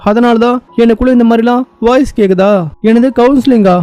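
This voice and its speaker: A man's voice: on the high side, fast talker, dark tone, clean tone, dry recording, lightly acted. Man putting on a proud, melodramatic young actress. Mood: bewildered. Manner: indignant, melodramatic, self-questioning. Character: vain, proud, easily flustered young actress. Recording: muffled lo-fi sound with music behind.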